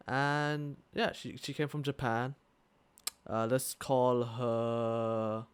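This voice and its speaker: A man's voice: mid-range voice, smooth. A young man co-hosting a casual podcast, telling a story with lots of fillers, restarts and swearing. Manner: rambling, swears often, many fillers and restarts. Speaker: podcast co-host. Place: living in Thailand, attends school there.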